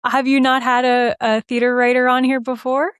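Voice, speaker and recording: A woman speaking as an interview guest in a casual, animated, informal way. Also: sits high, moderate pace, clean tone, dry recording. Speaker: guest, musical theater writer and performer. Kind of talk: casual conversational interview answers.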